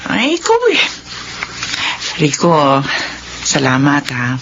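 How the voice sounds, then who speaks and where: A woman's voice: low-pitched, huge pitch swings, slow pace, full character voice. An actress playing a caring, practical wife and mother in a radio drama. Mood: grateful. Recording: dull, noisy radio drama recording.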